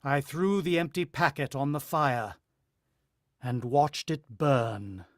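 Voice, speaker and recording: A man's voice: mid-range voice, lively intonation, slow pace. A man acting a desperate, haunted husband in a radio play, telling of a siege in grave, clipped, intense style. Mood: grim, haunted. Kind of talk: dramatic radio play narration and dialogue.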